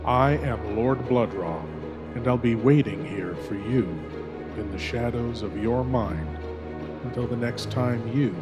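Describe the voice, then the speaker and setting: A man's voice: mid-range voice, measured pace, loud music behind the voice. A man giving a theatrical, ominous scripted sign-off as a horror show host.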